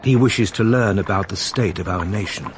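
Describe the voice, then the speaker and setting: A man narrating a period radio drama in a wry, confiding, measured way. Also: fairly low pitch, soft background music. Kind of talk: scripted storytelling narration.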